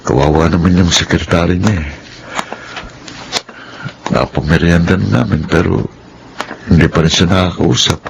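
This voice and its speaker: A loyal, humble employee in a radio drama. His voice is low and earnest, thinking aloud with anxious concern over clear background noise. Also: moderate pace, pauses often. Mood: musing, concerned. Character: loyal, kind-hearted male employee of modest means, anxious. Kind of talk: radio drama acting with spoken inner monologue.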